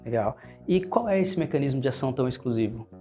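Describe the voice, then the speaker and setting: A man with a Brazilian Portuguese accent hosting an interview, often filling pauses with "né" and "é". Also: mid-range voice, expressive, dark tone, soft background music. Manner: frequent "né" and "é" fillers. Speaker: host, pharmacy advertising manager.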